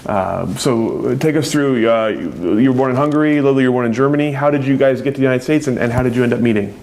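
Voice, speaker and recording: A man conducting an oral history interview, asking questions in a relaxed, friendly way. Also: medium pitch, fast.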